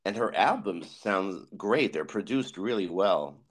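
A man's voice: low voice, animated. A man hosting a casual interview, talking eagerly with many restarts and fillers. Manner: enthusiastic, halting with many restarts. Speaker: podcast host.

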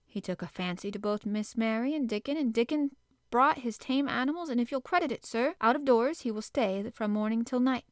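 A fussy, flustered housekeeper, put on by a woman reader, rattles on in a breathless, eager-to-please rush. Her voice sits higher and louder than plain narration, and the sound is dry and close. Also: animated, fairly quick, no room sound, close to an everyday voice. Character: flustered middle-aged female housekeeper, anxious to please.

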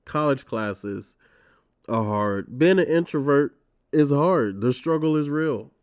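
A man's voice: medium pitch, lively intonation, slow, clean tone. Congested young man ranting on his podcast. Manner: profane, wry and ranting. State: congested from a cold. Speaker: podcast host. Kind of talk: casual solo monologue.

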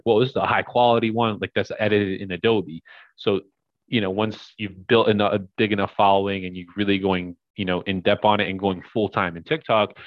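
Deep-voiced young man rambling casually. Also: dry recording, muffled recording. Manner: rambling, filler-heavy, upbeat. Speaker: podcast guest, crypto investor. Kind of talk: casual podcast chat.

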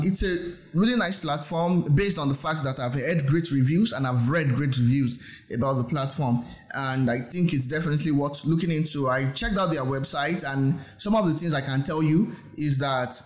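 A man with a Nigerian accent and a slightly high voice talks through an informal tutorial. He is conversational and reassuring, often restating a point to stress it. The sound is noticeably roomy and echoing. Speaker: host presenting software reviews. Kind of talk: informal explanatory tutorial talk.

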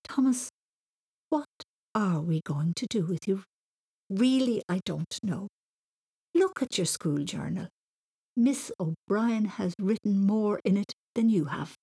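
A woman's voice: lowish voice, lively intonation, moderate pace, a little mellow, breathy edge. A woman voicing an exasperated storybook mother, stern and weary as she scolds. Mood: despairing.

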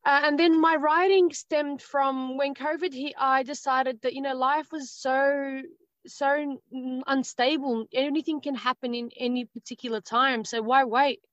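A woman's voice: high-pitched, fairly even intonation, unhurried, no echo. A woman in her thirties with an Australian accent, talking as a guest in a casual interview, rambling and hesitant with many fillers. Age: 30s. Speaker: guest author. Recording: dry, dull lo-fi sound.

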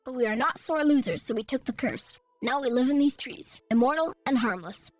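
Squeaky little forest creatures. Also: male voice, expressive, a little fast, dry recording, soft background music. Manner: squeaky, prim and chattering. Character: tiny fluffy gray tree creatures, proud, clever.

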